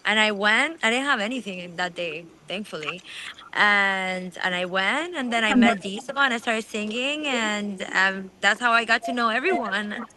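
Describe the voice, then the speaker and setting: A woman's voice: mid-range voice, normal speed, bright, forceful tone, slight background noise, low-quality recording. A woman from Colombia who is a singer and songwriter, chatting cheerfully in an interview. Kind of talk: casual interview chat.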